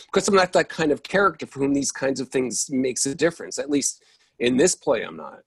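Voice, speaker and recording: A man's voice: mid-range voice, sing-song, creaky, slightly rough, full character voice. A man reading the part of an impatient character who loses patience easily and needs his coffee, in a staged play reading. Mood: bickering. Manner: exasperated, quick to lose patience. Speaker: reader in a readers theatre play.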